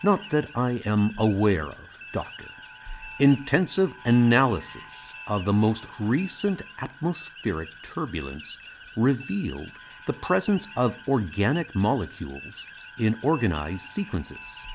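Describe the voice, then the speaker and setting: A man playing a calm, precise, logical alien science officer in an amateur comic audio drama, speaking formally and without emotion. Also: on the low side, slight background noise. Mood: calm, clinical. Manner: measured, formal, deadpan.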